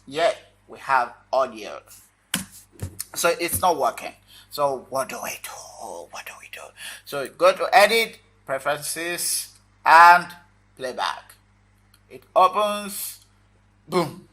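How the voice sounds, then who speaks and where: A man hosting a casual software tutorial, talking viewers through the steps informally in short phrases. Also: fairly high pitch, expressive, takes it slowly, long pauses. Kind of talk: casual screen-recorded tutorial talk.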